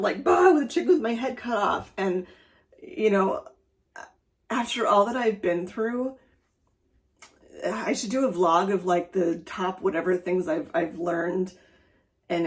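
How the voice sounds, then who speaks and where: A middle-aged woman raised speaking German, talking in a rambling, chatty way for her personal vlog, with many fillers. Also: medium pitch. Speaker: vlogger.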